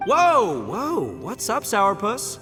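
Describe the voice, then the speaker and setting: A man's voice: extremely high pitch, wildly swooping pitch, slow, full character voice. A man voicing a brash, goofy, blustering young gang boss in a video game, with long reverb. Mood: flustered. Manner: boastful, blustering, stammering when flustered. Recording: long reverb, music underneath.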